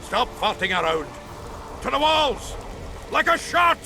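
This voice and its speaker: An actor playing a gruff, foul-mouthed dwarf commander in a fantasy video game, blunt and crude. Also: male voice, very high voice, very animated, a little slow, pushed and bright, a little hiss or hum, exaggerated voice. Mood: urgent, shouting. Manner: blunt, crude, barking orders.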